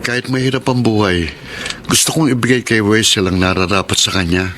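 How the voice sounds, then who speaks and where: A man's voice: on the low side, brisk, a bit bright, slight background noise, natural voice. A man playing a devoted, tender young single father in a Filipino radio drama. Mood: earnest.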